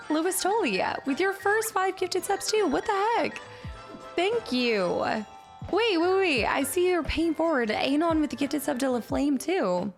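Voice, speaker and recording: A woman streamer chatting casually with her viewers and thanking them, upbeat, her voice a little raspy today. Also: fairly high pitch. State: slightly raspy voice. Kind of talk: casual stream chat reading chat. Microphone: streaming mic.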